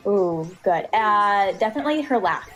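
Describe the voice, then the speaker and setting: A woman's voice: medium pitch, expressive, moderate pace, a bit bright, faint music behind. A woman voice actor talking casually as an interview guest, often giggling as she speaks.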